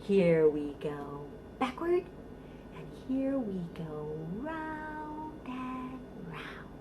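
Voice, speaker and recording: A middle-aged American woman hosts a storytime for babies. Her voice sits a little high and she takes her time, drawing rhymes out in a sing-song way. She gives parents encouraging instructions as a children's librarian would. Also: big pitch swings, extremely slow, over music.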